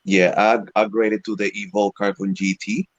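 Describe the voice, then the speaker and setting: Low-voiced Venezuelan-raised man, halting delivery. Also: level tone, no room sound, muffled recording. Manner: halting, frequent "you know" fillers. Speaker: interview guest, electric skateboard rider. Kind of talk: casual video call interview.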